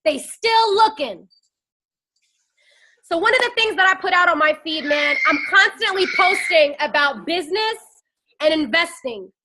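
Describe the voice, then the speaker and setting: High-pitched young woman in her 20s hyping up her team on a phone, preachy, fiery. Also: animated, unhurried, bright, forceful tone, poor audio. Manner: fiery, preachy, rallies listeners with call-and-response. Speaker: network marketing team leader. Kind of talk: motivational pep talk.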